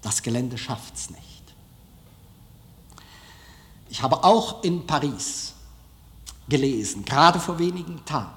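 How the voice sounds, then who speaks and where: A man delivers a declamatory speech in a large, echoing hall. His voice sits a little high, and he places his phrases very slowly, with deliberate emphasis and rhetorical repetition. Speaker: filmmaker giving a lecture. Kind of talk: public speech, declamatory lecture. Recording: reverberant lecture hall recording.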